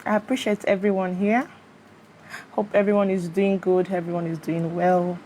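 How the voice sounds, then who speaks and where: A woman's voice: on the low side, normal speed, low-quality recording. Chatty Nigerian woman on a live stream. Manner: chatty, often greets viewers and checks the sound. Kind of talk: casual live stream commentary. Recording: steady fan noise behind.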